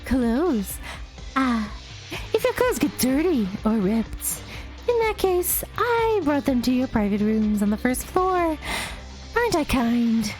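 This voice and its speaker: A high, bouncy voice for a gleefully cruel bear mascot from a game, put on by a woman. Every line swoops up and down, slow and teasing, with faint music underneath. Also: sing-song, darkish tone, heavily acted. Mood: gleeful taunting. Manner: sing-song, teasing, drawn-out delivery. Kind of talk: voicing a game's dialogue aloud.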